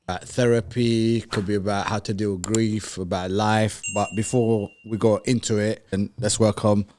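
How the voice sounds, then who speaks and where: A man's voice: lowish voice, level tone, normal speed, a little mellow, slight background noise. A man hosting a casual interview, speaking informally and encouragingly, often echoing what he hears. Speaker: podcast host interviewer.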